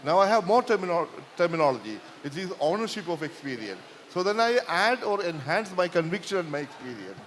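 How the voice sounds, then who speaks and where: A man from Kerala with a Malayali Indian accent, a native Malayalam speaker, moderating a discussion in a halting, self-correcting manner. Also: high voice, sing-song, fairly bright tone. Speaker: session moderator. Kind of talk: discussion closing remarks. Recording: reverberant hall with background noise.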